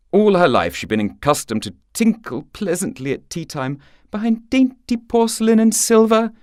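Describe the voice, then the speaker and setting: A man's voice: lowish voice, no room sound, studio quality. A man narrating a scripted short story for radio in a wry, theatrical storytelling manner.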